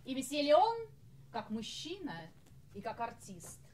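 A woman's voice: high voice, wildly swooping pitch, darkish tone, exaggerated voice, rough recording. An actress playing a haughty, strict lady café orchestra leader on stage, speaking in an imperious, theatrical manner. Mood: stern, scornful. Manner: imperious, theatrical, measured enunciation.

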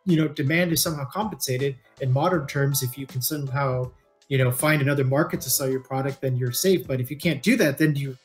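A man's voice: medium pitch, brisk. Casual, free-swearing man over guitar music. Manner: informal, swears freely. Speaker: co-host of a read-along book discussion show. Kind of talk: casual discussion with informal explaining. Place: indoor room, remote video call.

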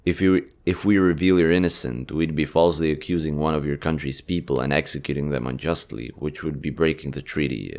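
A man acting a kind, earnest young army general in a romantic audio roleplay, hushed, halting and emotive. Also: very deep voice.

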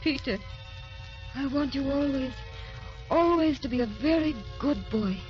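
A tender, serene grandmother speaks very slowly in a high, wistful voice. She sounds weary and content, in an old radio play with music behind her. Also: lo-fi sound. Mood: dreamy, fading. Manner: slow, wistful, repeating phrases. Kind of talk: 1930s-40s radio drama acting.

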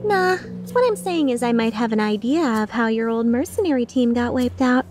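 Woman playing a high, teasing mercenary villainess. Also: normal speed, darkish tone, faint music behind, close to an everyday voice. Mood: gleeful taunting. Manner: teasing, mock-sincere, sardonic. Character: playful, taunting, mischievous young female mercenary villain. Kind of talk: fan dub of game dialogue.

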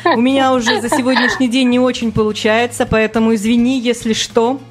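A woman hosting a casual live radio interview, talking in an excited, flowing and self-deprecating way. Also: on the high side, a little fast, faint music behind. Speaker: radio host.

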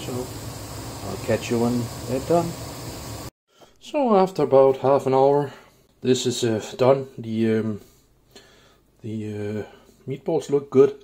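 Relaxed soft-toned guy doing a casual cooking vlog, kinda hesitant, talking in his kitchen. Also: medium pitch, takes it slowly. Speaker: home cook, video host. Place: indoors in a home kitchen.

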